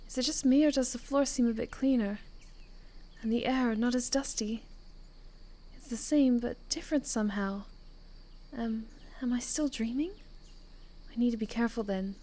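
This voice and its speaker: A woman game streamer, reacting live and reading a visual novel aloud, expressive and emotionally invested. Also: fairly high pitch.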